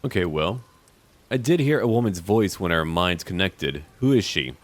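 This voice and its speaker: Low-voiced man with animated intonation, game commentary. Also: normal speed. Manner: talks to game characters in first person. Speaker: gaming video host. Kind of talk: roleplay game playthrough commentary. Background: game music.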